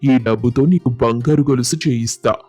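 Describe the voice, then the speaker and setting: Doting but hot-tempered village dad for a moral storybook, played by a male actor; emphatic, mid-pitched, close dry sound with faint music. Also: steady tone, normal speed, a little mellow, no room sound, natural voice. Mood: fond, generous. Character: doting village father, quick to anger and suspicion. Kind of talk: acted moral storybook dialogue.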